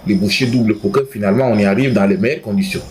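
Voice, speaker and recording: A man interviewing a guest, quickly chiming in to finish the guest's sentences. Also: on the low side, a crowd in the background.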